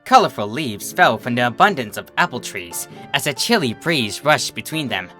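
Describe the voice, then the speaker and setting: Male narrator for scripted fiction: bright, animated delivery over guitar music. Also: mid-range voice, moderate pace.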